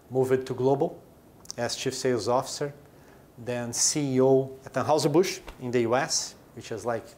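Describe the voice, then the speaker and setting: A man's voice: mid-range voice, a little mellow, a little hiss or hum. A man in his forties from Brazil, a company chief explaining his business in an interview, with short emphatic phrases. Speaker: chief executive of a brewing company.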